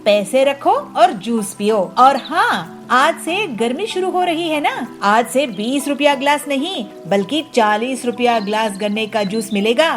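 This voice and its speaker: महिला की आवाज़ में घमंडी, ऊँचे सुर वाली कार्टून कौवी. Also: female voice, fairly high pitch, animated, measured pace, few pauses, soft background music. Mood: haughty. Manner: haughty, scolding. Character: arrogant, greedy female crow juice seller. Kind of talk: children's cartoon story voice acting.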